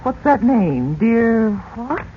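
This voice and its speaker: A woman's voice: fairly high pitch, sing-song, slow, slight background noise. An actress playing an anxious middle-aged mother of two teenagers in 1940s radio drama style, on a dull recording. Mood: puzzled, curious.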